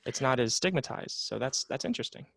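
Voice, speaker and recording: A man's voice: medium pitch, animated, quick pace, creaky, a bit bright, no echo. A man in his late twenties, a psychotherapist, talking reflectively in a casual interview with plenty of fillers and restarts. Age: 20s. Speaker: psychotherapist guest.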